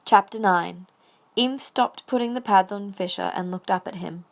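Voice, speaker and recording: A woman's voice: mid-range voice, moderate pace, creaky, dry recording. A woman reading scripted story narration for an audio drama.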